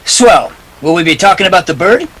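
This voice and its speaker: A man's voice: sits high, expressive, a little fast, fairly bright tone, poor audio. A man acting a wisecracking, cool private detective in a 1940s radio play, clipped and wry. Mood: cool, sardonic.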